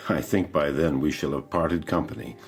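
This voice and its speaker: An actor playing a probing, dryly curious male detective chief in a radio drama, with a deep, gravelly, husky voice. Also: fairly even intonation, normal speed, exaggerated voice. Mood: dry, knowing.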